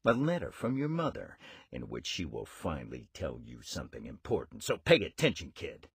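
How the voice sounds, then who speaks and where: A man's voice: rumbling low, huge pitch swings, gravelly, slightly rough, dry recording, studio quality, heavily acted. A man playing a sinister grown ice cream man in a horror game, brooding and coaxing, with a theatrical delivery. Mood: conspiratorial, promising. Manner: slow, brooding, theatrical with sudden swoops. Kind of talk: horror game cutscene acting.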